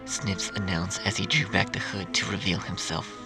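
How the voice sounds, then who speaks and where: A low-voiced man narrates a scripted story. His delivery has a gravelly fry to it, and music plays underneath the reading. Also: flat delivery, moderate pace. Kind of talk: scripted fan fiction audiobook narration.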